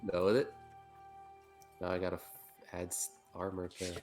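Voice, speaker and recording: Man at a tabletop game, deep voice, blunt and offhand, casual chat. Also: monotone, normal speed, a little mellow, soft background music. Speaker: player.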